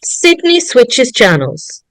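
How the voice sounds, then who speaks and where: A woman's voice: a little high, big pitch swings, takes it slowly, slight background noise. A woman narrating, reading out the stage directions of a screenplay at a table read.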